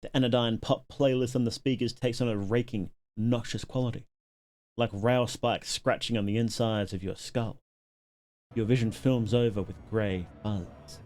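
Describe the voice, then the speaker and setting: A man's voice: medium pitch, fairly even intonation, normal speed, darkish tone, slight background noise. A man live-streaming, reading game text aloud and making casual asides to his viewers.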